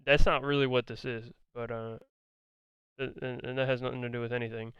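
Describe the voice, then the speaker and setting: A man giving blunt, argumentative, profane commentary, repeating his points, his voice going hoarse. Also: mid-range voice, little pitch movement, brisk, a bit bright, dry recording, dull audio. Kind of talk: ranting commentary on a debate.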